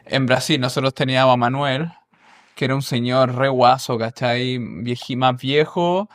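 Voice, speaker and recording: A man's voice: medium pitch, fairly even intonation, normal speed. A man with a Chilean accent, a podcast guest chatting in an easygoing way and readily agreeing with others. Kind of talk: casual conversational interview.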